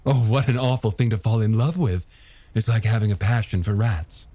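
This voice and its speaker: A man voicing a polite, warm and slightly shy married woman in an audiobook, gently conversational. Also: lowish voice, moderate pace, dry recording, pitched above the performer's own voice, lightly acted. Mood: curious, amused. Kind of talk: audiobook character dialogue.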